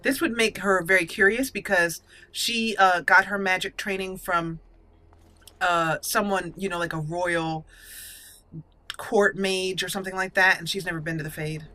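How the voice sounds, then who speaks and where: A woman's voice: on the low side, fairly even intonation, normal speed, bright, forceful tone, faint music behind. A woman hosting a gaming channel, giving role-play commentary and speaking lines in character.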